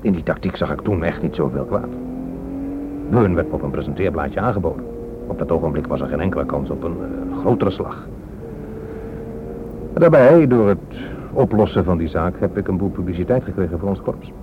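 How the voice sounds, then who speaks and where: A man's voice: sits low, expressive, fairly quick. A man acting a weary, corrupt police inspector in a radio play, frankly explaining and justifying himself. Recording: muffled lo-fi radio recording, strong noise.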